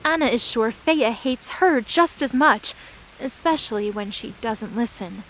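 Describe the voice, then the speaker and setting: A woman's voice: a little high, expressive, a little slow, fairly bright tone, a little hiss or hum, rough recording. A woman reading scripted, dramatic story narration as the narrator of a fantasy drama.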